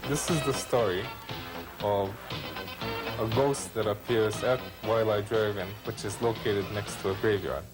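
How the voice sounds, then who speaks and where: A man telling a ghost story in a deliberate, dramatic manner. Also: on the low side. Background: faint music.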